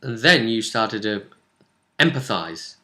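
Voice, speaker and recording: A man's voice: on the low side, big pitch swings, takes it slowly, sharp tone. A man casually recapping a tabletop role-playing session as game master, rambling and dropping into characters' lines as he goes.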